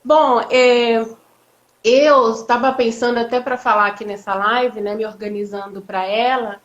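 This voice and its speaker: A woman's voice: on the high side, fairly even intonation, measured pace. A woman, a law professor talking reflectively with many fillers and restarts in a live online interview. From Bahia, Brazil. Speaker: law professor, guest speaker. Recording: echoey, dull lo-fi audio.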